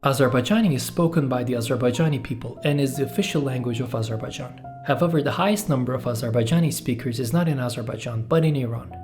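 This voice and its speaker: A man with a Turkish accent, a native Turkish speaker, reading a scripted explainer narration in a measured, steady way. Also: mid-range voice. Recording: music bed under the voice.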